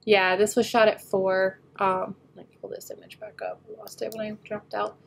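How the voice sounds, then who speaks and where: A woman getting over a head cold, slightly nasal, talking through a photo editing tutorial as she works and often trailing off quietly. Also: mid-range voice, level tone, normal speed, gravelly. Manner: thinks aloud while working, often trailing off quietly. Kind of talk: screen-recorded editing tutorial.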